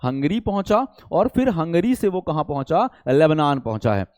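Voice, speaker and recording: A man's voice: on the high side, big pitch swings, normal speed, darkish tone, dry recording, studio quality. A man teaching a current affairs lesson in a didactic way, often checking in and posing rhetorical questions. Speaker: teacher for competitive exam students.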